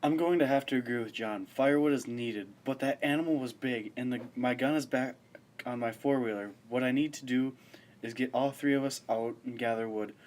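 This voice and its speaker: A man's voice: medium pitch, a little fast, a little mellow, natural voice. A man playing a commanding wilderness officer who is secretly a cold killer, in an amateur radio play. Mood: urgent, commanding. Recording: noisy amateur recording with background ambience.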